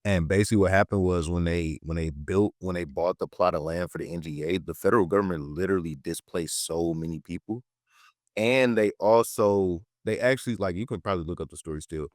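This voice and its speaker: A man's voice: deep voice, a little fast, no room sound, studio quality. A nineteen-year-old man from the United States talking casually as a podcast guest, telling stories in slangy speech with emphatic repetition. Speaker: podcast guest, political educator and content creator. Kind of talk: casual conversational storytelling.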